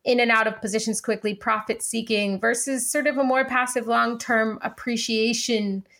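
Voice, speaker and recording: A woman co-hosting a podcast, asking interview questions in a conversational, measured way and thinking them through aloud. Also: fairly high pitch, fairly even intonation, gravelly, a bit bright.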